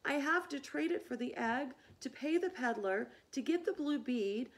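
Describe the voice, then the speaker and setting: A woman's voice: sits high, animated, moderate pace, voice pushed up. A woman voicing a pleading, wheedling little male fox from a storybook in an imploring sing-song. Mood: desperate pleading.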